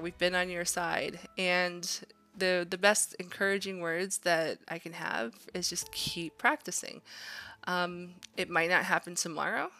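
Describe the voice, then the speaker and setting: Bright-voiced woman teaching, chatty and informal. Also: fairly low pitch. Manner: chatty, encouraging. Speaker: art workshop instructor. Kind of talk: informal drawing demonstration, thinking aloud.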